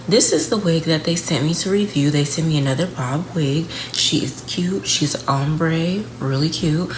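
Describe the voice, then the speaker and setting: Low-voiced congested woman vlogger. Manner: chatty, addresses viewers directly. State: congested from a cold. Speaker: wig reviewer. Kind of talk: casual beauty review vlog. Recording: noisy home recording.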